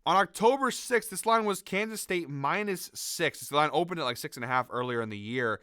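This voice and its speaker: A man hosting a sports betting show, chatting casually through his picks while sick, with a raspy, nasal voice. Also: on the high side, animated, fairly quick, pushed and bright, dry recording, studio quality. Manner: conversational, hedging, frequent fillers. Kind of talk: casual picks commentary to camera.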